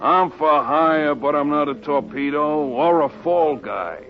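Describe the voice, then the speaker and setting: A man's voice: a little high, a little slow, lightly acted. Man playing a cocky American hoodlum, dull radio sound. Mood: defensive, wheedling. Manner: slangy, cocky wise guy. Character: cocky small-time male hoodlum, slangy and slippery. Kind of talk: 1950s radio crime drama acting. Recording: dull old radio recording with music.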